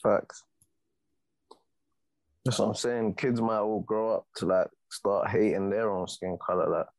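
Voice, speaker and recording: A man, chiming in with short replies as a podcast co-host. His voice is fairly low. It is recorded dry and close on a studio mic. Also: steady tone, a little slow, gravelly, darkish tone, no echo, low-quality recording. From United Kingdom. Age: 20s. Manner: brief, agreeable interjections. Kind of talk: casual chat. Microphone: studio mic, headphones.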